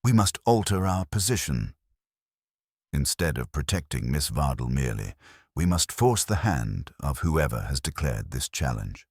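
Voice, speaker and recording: A man voicing an imperious, stern male detective in an audiobook, speaking slowly, precisely and formally. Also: a bass voice, big pitch swings, dry recording, clean studio sound, exaggerated voice. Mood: stern, deliberate. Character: imperious middle-aged male genius detective, stern and deliberate.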